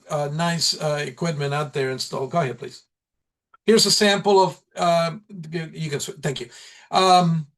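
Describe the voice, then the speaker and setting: Man presenting, higher-pitched voice, halting delivery. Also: fairly bright tone. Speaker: college aviation program instructor presenting. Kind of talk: informal slide presentation at a meeting.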